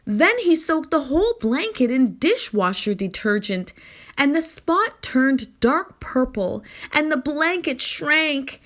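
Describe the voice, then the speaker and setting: A woman reading a picture book aloud as a children's story reader, with expressive, deliberate storytelling. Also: high-pitched.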